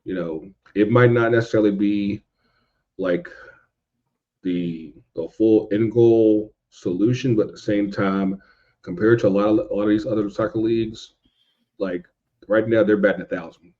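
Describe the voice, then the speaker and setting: A man's voice: lowish voice, fairly even intonation, moderate pace. An American man chatting casually as a podcast guest, informal and slangy, often repeating phrases for emphasis, on a dull lo-fi recording.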